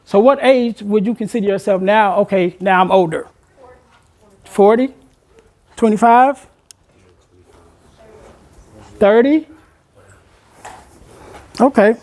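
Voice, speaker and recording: A man, a motivational speaker addressing a room of high school students, asking them questions and urging them to take part. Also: unhurried, long pauses, darkish tone. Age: 40s. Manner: engaging the audience with questions, conversational and encouraging.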